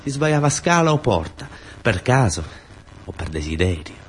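A man's voice: mid-range voice, big pitch swings, moderate pace, slight background noise. A man with a southern Italian accent performs a narrative monologue, repeating short phrases in an incantatory rhythm. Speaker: actor and storyteller in a radio theatre monologue.

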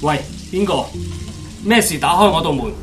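Man acting high-pitched blustering male coach. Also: expressive, moderate pace, natural voice. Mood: angry. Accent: Hong Kong Cantonese. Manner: shouting, indignant, blustering. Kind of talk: Cantonese radio drama acting. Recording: music behind the voice.

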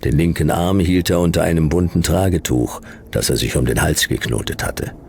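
A man playing a laconic private detective in a sci-fi radio play, narrating in clipped, deadpan with a gravelly voice. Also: rumbling low, moderate pace, a little hiss or hum. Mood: dry, laconic. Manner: clipped, dry, deadpan delivery. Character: Jonas, laconic hardboiled male private detective narrating his case.

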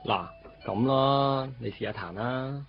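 Self-assured schoolboy guitar tutor, muffled. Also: male voice, medium pitch, slow pace, creaky. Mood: encouraging. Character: polite, self-assured teenage boy guitar teacher. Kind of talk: radio drama dialogue. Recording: dull lo-fi radio recording with music.

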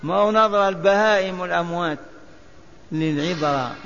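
High-voiced man chanting a lesson in a hall. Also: fairly quick, gravelly, fairly bright tone. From Algeria. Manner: sing-song chanting of memorized lists, drawn out. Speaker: religious teacher. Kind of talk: lecture teaching by recitation and repetition. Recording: echoey hall, dull lo-fi sound, faint hiss.

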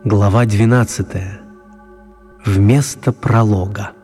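An actor playing an author who narrates his children's story in a radio play, mellow and husky. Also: lowish voice, steady tone, slow, faint music behind. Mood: storytelling.

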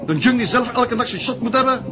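An actor playing a gruff, hot-tempered bar owner with a Flemish accent in a crime drama. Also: male voice, extremely high pitch, a little fast. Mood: angry, defiant.

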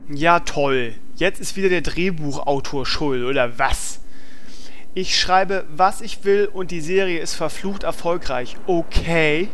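A man acts a defensive, boastful scriptwriter in a comic radio play. The voice is a little high and deliberately slow, full of irritated indignation. Slight noise sits on the recording. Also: fairly bright tone. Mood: indignant. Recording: faint background noise.

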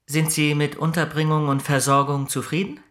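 A man's voice: a little high, level tone, moderate pace, fairly bright tone, lightly acted. A man playing a lovestruck care-home director in a radio play, insistent, intimate and floridly poetic. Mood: awkward, yearning. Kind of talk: German radio play acting.

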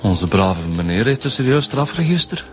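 A man's voice: medium pitch, very animated, moderate pace, faint music behind, lightly acted. A man acting as a sceptical, teasing police detective in a radio drama, casual and wry, with a Belgian accent.

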